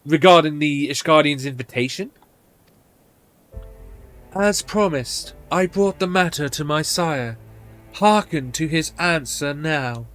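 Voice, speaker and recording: A man performs a regal, ancient dragon from a fantasy game. The speech is solemn, stately and archaic, pitched fairly high, with music behind it. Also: takes it slowly, long pauses, fairly bright tone.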